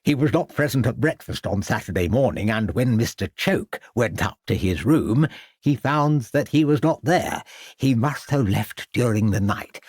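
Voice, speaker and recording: A man's voice: on the low side, darkish tone, slightly rough, dry recording, clean studio sound. A man voicing a fussy, flustered elderly headmaster who stammers and speaks pompously.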